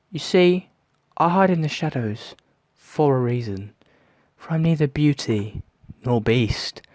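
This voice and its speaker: A man performs a sadistic villain of the shadows for an audio drama. He taunts and gloats in a grandiose, theatrical way, at a middle pitch, in a very dry, close recording. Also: no room sound. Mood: gloating menace.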